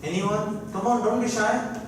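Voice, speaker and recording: A man's voice: high voice, fairly even intonation, a little slow, a little hiss or hum. A young man from India with an Indian accent, a native Odia speaker, giving an informal classroom talk and coaxing the class with questions. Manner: chatty, coaxes the class with questions. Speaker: guest lecturer. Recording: echoey classroom from far off.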